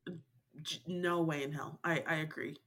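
A woman's voice: low-pitched, steady tone, moderate pace, fairly bright tone. A woman co-hosting a podcast, chatting animatedly and laughing often. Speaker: podcast co-host. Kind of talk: casual chat.

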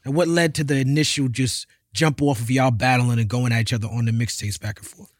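A man hosting a casual interview, relaxed, backing the guest up with short affirmations. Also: medium pitch, a little fast, no room sound, clean studio sound. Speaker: interview host.

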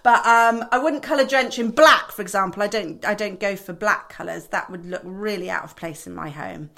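A woman co-hosting a home design podcast, chatting casually and lively. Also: mid-range voice, moderate pace, vocal fry. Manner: lively, laughs easily, chips in. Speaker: podcast co-host. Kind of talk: casual chat.

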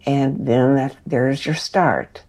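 A woman in her eighties with an American accent, telling her own story with pauses. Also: a bass voice, a little mellow. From Kansas City, United States. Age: 80s. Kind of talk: personal storytelling.

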